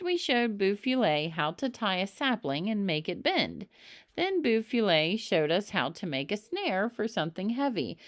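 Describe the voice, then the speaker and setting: A woman reading a children's story aloud in an expressive narrating style, with emphatic, dramatic phrasing. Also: mid-range voice, dry recording, studio quality. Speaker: storybook reader.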